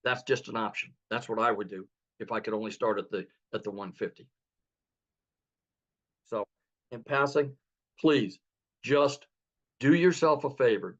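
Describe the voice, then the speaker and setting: Earnest man pitching over a muffled call. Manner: earnest, persuasive sales pitch. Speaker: guest speaker. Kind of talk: webinar testimonial. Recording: muffled lo-fi online call audio.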